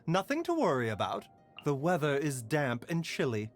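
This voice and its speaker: An actor playing a gentle, calm, scholarly pharmacy doctor in a video game, advising politely in measured, formal phrases. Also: male voice, fairly high pitch, soft background music. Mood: gentle, caring. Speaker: doctor character. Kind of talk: scripted video game character voice lines.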